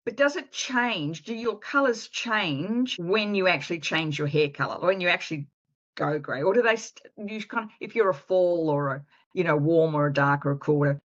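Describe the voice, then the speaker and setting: A woman's voice: on the low side, normal speed, highs cut off. A middle-aged woman hosting an interview, chatty and enthusiastic, often restarting her sentences. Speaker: podcast host. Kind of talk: casual interview chat.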